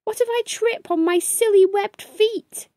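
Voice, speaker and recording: A woman's voice: extremely high pitch, measured pace, a little mellow, no room sound, clean studio sound, voice pushed up. A woman voicing an anxious young male beaver in a children's storybook, expressively fretting and chanting to himself for courage. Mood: worried. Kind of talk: children's storybook read-aloud.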